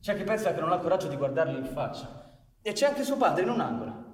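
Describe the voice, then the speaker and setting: A man's voice: high-pitched, very animated, fast, far from the mic. An actor playing an earnest working-class factory man and father, telling of hardship in a dramatic theatrical radio monologue. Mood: subdued, grave. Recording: echoey hall with live music behind.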